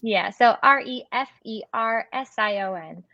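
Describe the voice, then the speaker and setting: A woman hosting a webinar, upbeat and affirming with her guest. Her voice sits a little high, and it comes over a dry, slightly muffled video call. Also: measured pace, creaky, no room sound. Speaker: webinar host. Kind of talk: hosted webinar interview.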